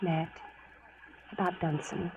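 A woman's voice: fairly low pitch, steady tone, slow. An actress playing a bold, tender young frontier woman with an American accent, in 1940s radio style. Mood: earnest, tender. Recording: noisy, muffled 1940s radio broadcast.

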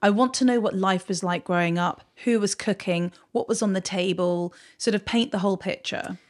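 A woman's voice: mid-range voice, moderate pace. A woman hosting a podcast, asking open questions in an eager, encouraging way. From grew up in a big city. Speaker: podcast host. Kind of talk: casual interview chat.

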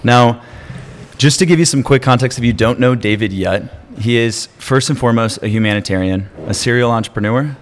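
A man's voice: mid-range voice, brisk, a little hiss or hum. A man hosting a podcast, introducing his guest and asking short, probing questions in an upbeat way. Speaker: podcast host.

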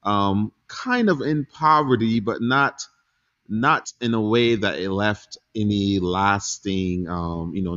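A man in his forties from Barbados, a guest chatting casually in an interview, relaxed, laughing easily and pausing with ums. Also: lowish voice, lo-fi sound. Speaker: guest entrepreneur.

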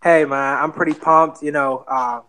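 A man's voice: on the high side, moderate pace. A man in his twenties with a Bahamian accent, talking earnestly as a guest on a podcast interview. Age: 20s. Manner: relaxed, earnest, many fillers. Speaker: guest real estate investor.

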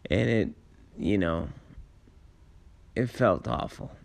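A man with a low, raspy voice full of gravelly creak, sounding tired at the end of a long day. He rambles through personal memories at a very unhurried pace. His phrasing is halting and reflective, with laid-back slang. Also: animated. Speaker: storyteller sharing personal memories. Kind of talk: casual rambling personal storytelling.

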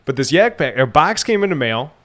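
A man's voice: a little high, sing-song, fairly quick, a bit bright. A man in his thirties hosting an outdoors podcast, sounding nasal from a head cold and telling long, rambling stories. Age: 30s. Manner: chatty storyteller, rambling with restarts. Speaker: podcast host. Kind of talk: casual chat.

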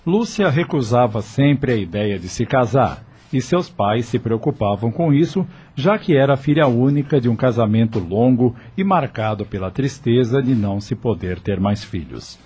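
A man's voice: medium pitch, lively intonation, moderate pace, hardly any pauses, darkish tone, slightly rough. A man narrating a scripted radio drama in a dramatic, literary storytelling manner. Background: background music.

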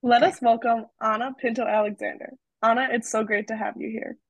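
A young woman co-hosts a student podcast with a higher voice, reading the guest introduction and asking short questions in a friendly way. The recording is close and dry. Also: fairly even intonation, a little fast, dry recording. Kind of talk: scripted intro, quick questions.

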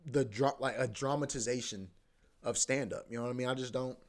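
A man's voice: mid-range voice, steady tone, a little fast. A young man hosting a podcast, rambling casually with lots of profanity, repeating himself and filling gaps with "bro" and "you know what I mean". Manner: repeats himself, often restarts. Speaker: podcast host.

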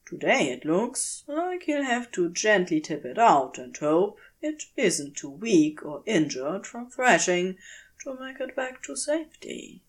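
A woman reading a scripted story aloud with an expressive, unhurried storytelling delivery. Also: medium pitch. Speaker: audiobook-style reader of fan fiction.

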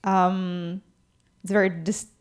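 A woman's voice: fairly low pitch, level tone, slow. A woman from Germany with a German accent, co-hosting a podcast in casual chat and laughing often. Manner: giggly, laughs often between remarks. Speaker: podcast co-host.

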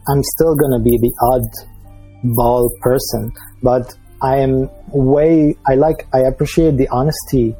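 Syrian guy chatting, halting and restarting a lot, dark mellow husky tone, faint music behind. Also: mid-range voice, fairly even intonation, no echo, low-quality recording. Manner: halting, many restarts and fillers. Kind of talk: casual conversation.

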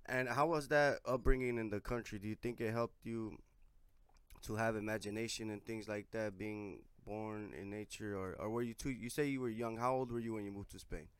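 A man in his twenties hosting a casual interview podcast, relaxed and full of "you know" fillers. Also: lowish voice, a little fast, clear, resonant tone, dry recording. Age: 20s. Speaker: podcast host.

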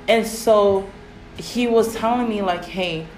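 A woman hosting a casual faith podcast, with a raspy voice and a rambling manner full of fillers. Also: medium pitch, takes it slowly, poor audio. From Pacific Islands. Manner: rambling, many fillers, animated when excited. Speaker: podcast host.

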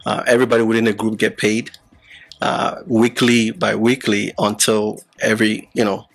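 A man's voice: lowish voice, level tone, normal speed, gravelly. A man who grew up partly in Ghana, a podcast guest explaining things casually with many fillers and restarts. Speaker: podcast guest, app founder. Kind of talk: casual explanatory interview talk.

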